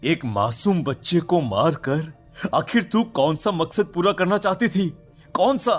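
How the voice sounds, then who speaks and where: Man acting an anxious young father, highish voice. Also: animated, a little slow, soft background music. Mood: accusing, outraged. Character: anxious, protective husband and father. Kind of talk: Hindi animated horror story acting.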